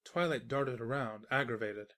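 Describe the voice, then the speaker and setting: A woman's voice: rumbling low, moderate pace, fairly bright tone. A woman reading scripted comedic story narration as the storyteller.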